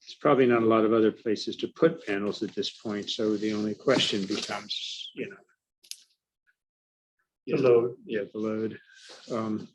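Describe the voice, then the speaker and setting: Halting man chairing a board meeting. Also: lowish voice, steady tone, a little hiss or hum, rough recording. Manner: halting, restarting phrases.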